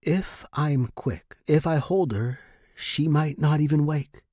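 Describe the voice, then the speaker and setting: A man reads first-person fiction with slow, deliberate phrasing. His voice is husky and hushed, intimate yet dramatic, and the recording is close and dry. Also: a little high, dry recording. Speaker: narrator.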